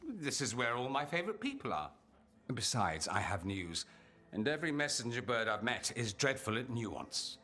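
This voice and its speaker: A man's voice: medium pitch, very animated, normal speed, sharp tone. A man playing a suave, witty, self-assured mage in a fantasy game, with a dry, sardonic, theatrical delivery. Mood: wry, playful. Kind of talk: dramatic game dialogue. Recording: reverberant hall, music underneath.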